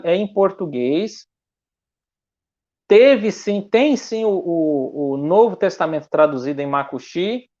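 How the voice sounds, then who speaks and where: A man's voice: high voice, darkish tone, muffled recording. A male missionary speaking in a conversational church interview, hesitant and full of restarts. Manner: halting, many restarts and fillers.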